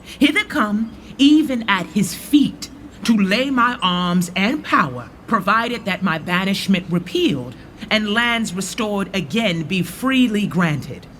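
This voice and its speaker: Bold rebel lord, radio verse drama. Deliberate, formal, forceful delivery over light ambient noise. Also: male voice, sits high, sing-song, full character voice. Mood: resolute. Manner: declamatory, measured verse delivery. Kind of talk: Shakespearean radio drama acting. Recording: faint background noise and ambience.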